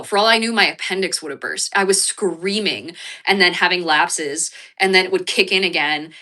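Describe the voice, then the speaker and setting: An American woman with a stuffed-up, sniffly voice rambles to her viewers in a casual livestream chat. She sits on the lower side of the female range, and her tone is bright and forceful. She swears freely and fills her talk with restarts and ums. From United States. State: congested, sniffly.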